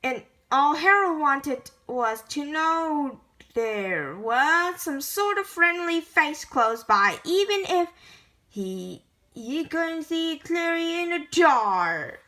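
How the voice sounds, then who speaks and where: A woman with a high, bright voice reads a children's storybook aloud. She goes very slowly and haltingly, often stumbling over the words as an amateur reader does. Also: lively intonation. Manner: halting, stumbling word-by-word reading. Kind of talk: children's picture book read-aloud.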